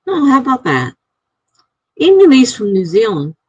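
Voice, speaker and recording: A woman's voice: on the high side, wildly swooping pitch, normal speed, darkish tone, breathy edge, no echo, lo-fi sound. A woman hosting a live stream, reading viewer comments aloud in a chatty way and laughing often.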